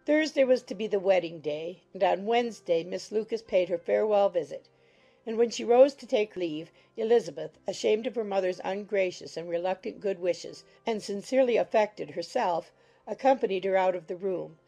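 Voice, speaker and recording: A woman reading scripted audiobook narration in a measured, formal storytelling manner. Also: mid-range voice, mellow, faint music behind.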